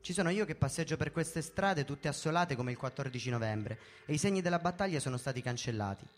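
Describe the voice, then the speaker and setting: A male storyteller performs a first-person narrative in a fairly high-pitched voice. His delivery is measured and theatrical, like a staged reading. Music plays softly behind him. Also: lively intonation. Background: background music.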